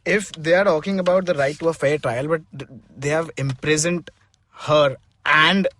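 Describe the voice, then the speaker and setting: A man's voice: fairly high pitch, steady tone, normal speed, a bit bright. A man chatting casually as a podcast co-host. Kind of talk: casual chat.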